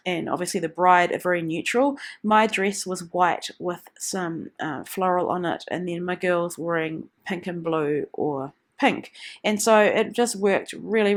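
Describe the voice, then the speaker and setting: Nasal woman recovering from flu. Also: fairly low pitch. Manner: chatty, meandering, with many ums. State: nasal, getting over the flu. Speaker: scrapbooking vlogger. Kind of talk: casual voice-over narration of a craft process.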